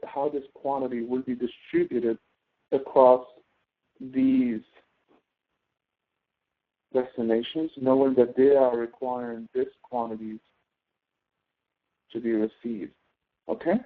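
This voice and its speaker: A man's voice: mid-range voice, takes it slowly, creaky, pauses often. Male tutor on a tinny phone-like line. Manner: explanatory, often checks understanding with tag questions. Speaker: instructor, tutor. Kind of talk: step-by-step spreadsheet tutoring.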